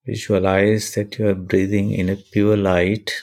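Very slow, low-pitched man guiding meditation. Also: flat delivery, a little mellow. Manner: measured, deliberate, with pauses between short phrases. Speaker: meditation guide. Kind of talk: guided meditation with prayer.

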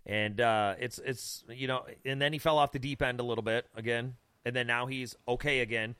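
A man's voice: mid-range voice, steady tone, moderate pace, bright, forceful tone, clear, resonant tone, no echo. A man co-hosting a podcast, telling music anecdotes in a slangy, conversational way. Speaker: podcast co-host with past interviewing experience. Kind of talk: casual banter and music anecdotes.

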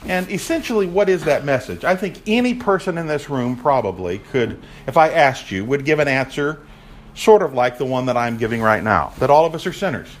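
A man's voice: mid-range voice, expressive, fairly quick. Man lecturing a room on church history. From raised in a Baptist family. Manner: projecting, asks the audience questions. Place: room with an audience.

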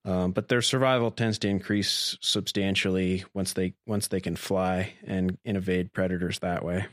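Low-voiced young man, careful and hesitant. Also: fairly even intonation, normal speed, a bit bright, dry recording, clean studio sound. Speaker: graduate student researcher, interview guest. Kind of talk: informal explanation of research.

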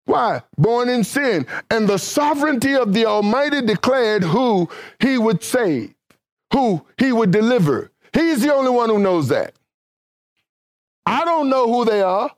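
A man's voice: very high voice, big pitch swings, unhurried, dry recording. A man preaching a sermon with a fervent, rising and falling cadence and casual, conversational phrasing.

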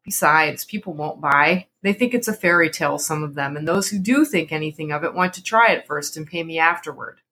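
A woman voicing a solemn, weathered male sergeant major in a storybook reading, gruff and slow of speech. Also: low-pitched, bright, forceful tone. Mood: slow, reluctant.

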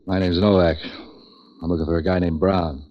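A hard-boiled man for hire out of an old radio noir, acted by a man. His voice sits very low and he talks in clipped, deadpan wisecracks, street-wise and tough. The recording is dull 1940s lo-fi. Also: normal speed, lightly acted. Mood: cool, needling. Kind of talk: 1940s radio noir first-person narration and dialogue.

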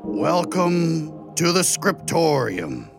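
A big, friendly bear played by a man guides listeners through a children's audio adventure. He speaks slowly and formally, with a gravelly crackle and a teasing, animated melody. Music plays clearly behind him. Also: fairly high pitch, heavily acted. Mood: formal, welcoming. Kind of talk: children's audio drama acting.

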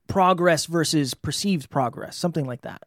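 A man's voice: on the high side, normal speed, dry recording, clean studio sound. A man in his thirties co-hosting a podcast, candid and self-deprecating in casual chat. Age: 30s. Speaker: podcast co-host.

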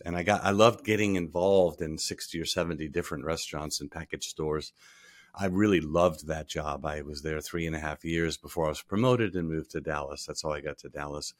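A man in his sixties from Rhode Island, a podcast guest recalling his career in a relaxed, storytelling way, often trailing off softly at the ends of phrases. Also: extremely low pitch, no room sound, studio quality. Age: 60s. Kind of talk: casual interview.

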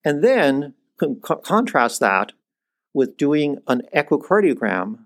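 Older male cardiologist guest, mid-pitched, reflective, frequent restarts. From United States, born in Iceland. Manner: thoughtful, restarts often, rises in pitch when making a point. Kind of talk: conversational interview.

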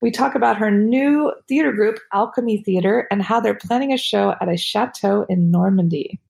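Woman, American accent, podcast host, vocal fry, husky, conversational. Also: mid-range voice, moderate pace, darkish tone. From United States. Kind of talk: scripted podcast intro and casual interview.

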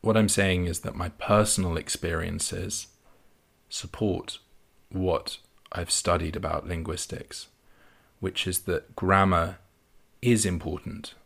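A man hosting a podcast for English learners, explaining in a clear, measured way. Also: low-pitched, fairly bright tone. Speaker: podcast host and English teacher. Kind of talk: scripted podcast lesson for learners.

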